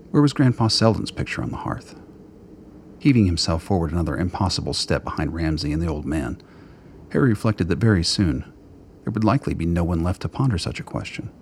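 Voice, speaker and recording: A man's voice: rumbling low, vocal fry, a little hiss or hum. A man narrating a radio play in a scripted, literary style.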